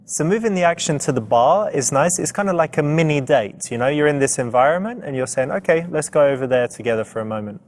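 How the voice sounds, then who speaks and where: A man playing a smooth, attentive dater and coach, talking in a conversational, reassuring way. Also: on the high side, expressive, quick pace, few pauses, lightly acted. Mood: explanatory. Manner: conversational, reassuring, uses "you know" often. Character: smooth, attentive man chatting up a woman on a date. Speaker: seduction coach and dating game presenter. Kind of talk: scripted date dialogue and coaching commentary.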